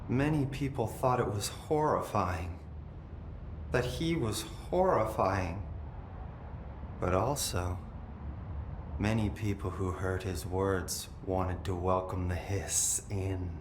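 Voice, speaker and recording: An actor playing a possessed, eerily calm brother in a video game, telling things in a detached, dreamy and menacing way. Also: male voice. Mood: eerily serene. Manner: slow, detached, deliberate storytelling with lingering pauses. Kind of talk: dramatic video game acting. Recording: echoey room, noticeable low rumbling noise.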